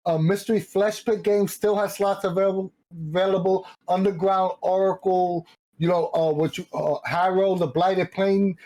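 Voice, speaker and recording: A man's voice: high voice, mellow, low-quality recording. The joking, slangy guy who hosts a hobby livestream and casually runs through the sign-up and follow plugs before closing the show. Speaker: host of a gaming community stream. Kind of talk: casual chat with promotional plugs.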